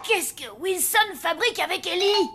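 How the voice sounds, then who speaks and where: High-pitched, nosy zombie kid. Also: female voice, animated, normal speed. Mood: skeptical. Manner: challenging, prodding, skeptical. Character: nosy, bossy young zombie sibling. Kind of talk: children's cartoon voice acting.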